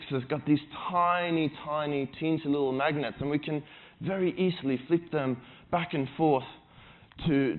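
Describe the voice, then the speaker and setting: Swedish-accented male conference speaker, chatty and self-deprecating, thin lo-fi stage recording. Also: mid-range voice. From Sweden. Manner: conversational and self-deprecating, frequent restarts. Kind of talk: tech conference talk.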